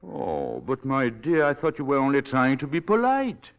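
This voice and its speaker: Suave, controlling husband, swooping intonation. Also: male voice, mid-range voice, huge pitch swings, moderate pace, dull audio. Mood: smooth, condescending. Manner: smoothly condescending, shifting to stern commands. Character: suave, controlling, manipulative husband and master of the house. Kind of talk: 1940s radio drama acting.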